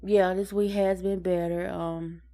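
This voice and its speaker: A woman's voice: lowish voice, little pitch movement, measured pace, dark tone. A woman co-hosting a podcast in a casual personal chat, hesitant and often repeating and rephrasing her words. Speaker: podcast co-host.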